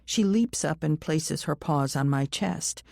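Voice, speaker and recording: A woman's voice: deep voice, unhurried, creaky, a little mellow, dry recording, studio quality. A woman reading scripted first-person thriller narration as an audiobook narrator.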